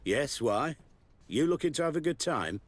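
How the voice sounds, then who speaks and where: Husky, shady brothel keeper. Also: male voice, on the high side, expressive, normal speed. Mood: sly, defensive. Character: shady, wary brothel keeper, opportunistic male merchant. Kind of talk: video game cutscene acting.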